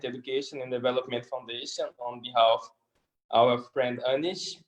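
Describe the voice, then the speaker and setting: A man's voice: mid-range voice, rough recording. A man, a youth climate activist, introducing himself on an online panel in a friendly, plain way.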